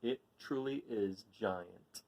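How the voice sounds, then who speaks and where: A man reading a children's picture book aloud, with dramatic, drawn-out emphasis on key words. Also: on the low side, vocal fry, lo-fi sound. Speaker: storybook reader reading aloud.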